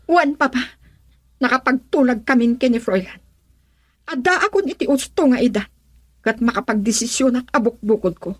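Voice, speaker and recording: A woman's voice: fairly high pitch, moderate pace, long pauses, no echo, clean studio sound, close to an everyday voice. A Filipino woman playing a young daughter in love in a radio drama, earnest, pleading and defiant.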